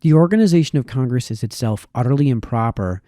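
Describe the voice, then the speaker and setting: Male reader, mid-pitched and soft-edged, measured formal delivery of old prose, small stumbles, very dry close recording. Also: lively intonation, no room sound. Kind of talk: reading aloud a historical political text.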